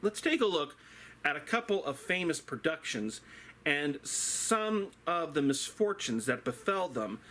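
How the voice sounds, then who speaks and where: A man giving a dramatic storytelling lecture as a theatre teacher, speaking in a deliberate, theatrical way with rhetorical flourishes. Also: fairly high pitch, sing-song, pushed and bright.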